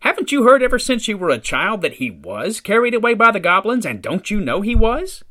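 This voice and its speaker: A man voicing a superstitious, fretful old woman who insists in a shrill, indignant way. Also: sing-song, normal speed, fairly bright tone.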